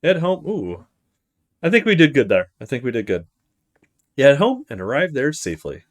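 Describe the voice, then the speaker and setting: A man's voice: mid-range voice, wildly swooping pitch, normal speed. A man hosting a let's play, reading a visual novel aloud with casual asides.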